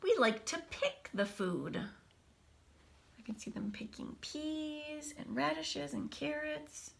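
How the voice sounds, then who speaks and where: A woman teacher reading a picture book aloud to children, expressively, with casual, hushed explanatory asides. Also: high-pitched, takes it slowly, vocal fry. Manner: animated storybook delivery, dropping to hushed asides.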